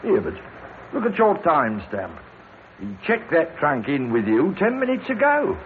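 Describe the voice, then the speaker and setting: An actor playing an inquisitive working-class railway porter in a vintage radio drama, speaking colloquially. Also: male voice, a little high, wildly swooping pitch, unhurried. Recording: scratchy narrow-band old radio, heavy noise.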